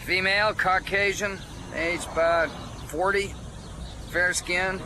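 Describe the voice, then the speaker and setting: An actor playing a callous, chatty American police photographer in a radio drama, talking in a casual, cynical way. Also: male voice, high voice, fairly even intonation, slow. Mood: matter-of-fact. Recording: outdoor noise with traffic behind.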